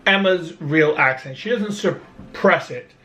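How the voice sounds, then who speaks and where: Brooklyn-accented man, casual vlog chat, slow and halting, a bit distant from the mic. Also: on the high side, bright, forceful tone, reverberant. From Brooklyn, New York. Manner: halting, with frequent restarts and slow stretches. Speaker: vlogger. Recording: voice a bit off-mic, faint room noise.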